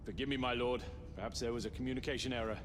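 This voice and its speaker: An actor playing a dutiful, anxious soldier in a video game, deferential and pleading toward a superior. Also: male voice, medium pitch, fairly even intonation, very fast, close to an everyday voice. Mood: nervous, pleading. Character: dutiful, anxious male soldier guarding a post. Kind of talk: video game cutscene acting. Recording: long echoing hall reverb, music behind. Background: music.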